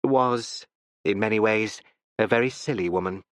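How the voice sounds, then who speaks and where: A male audiobook reader voicing a cold, measured older judge with an imperturbable, dry manner. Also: fairly low pitch, no echo, lightly acted. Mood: cutting.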